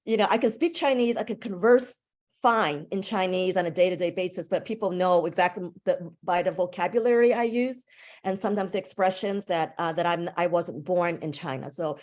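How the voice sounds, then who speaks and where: A woman's voice: lowish voice, a little fast, darkish tone. Woman, instructor, native english speaker, online class, video call mic, conversational, thoughtful. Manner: conversational, thoughtful, with a few restarts. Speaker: language instructor.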